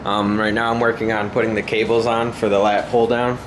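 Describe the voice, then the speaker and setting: A man's voice: on the low side, flat delivery, brisk, noisy background. A man giving casual, unscripted vlog narration in an informal, hesitant way, as if thinking aloud. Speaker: home gym vlogger. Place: concrete basement home gym, indoors. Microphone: handheld camera mic, operated by speaker.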